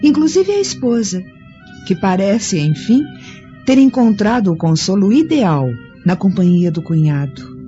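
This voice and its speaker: A man's voice: high voice, sing-song, unhurried, mellow, highs cut off. A man playing a sneering, scheming man in a radio drama, with music behind. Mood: scornful. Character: scheming man, lover of Flávia.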